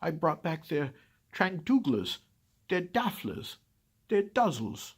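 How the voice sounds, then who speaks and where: A man's voice: on the high side, big pitch swings, takes it slowly, husky, heavily acted. A man voicing a grumpy, scheming Christmas-hating creature in a dramatic storybook reading, sighing and dropping into whispers. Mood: joyful. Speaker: reader.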